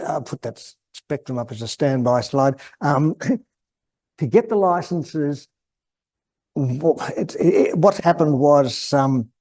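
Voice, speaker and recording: An Australian man giving an informal technical presentation, hesitant and halting as he thinks aloud. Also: a little high, darkish tone. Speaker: guest presenter at an amateur radio club.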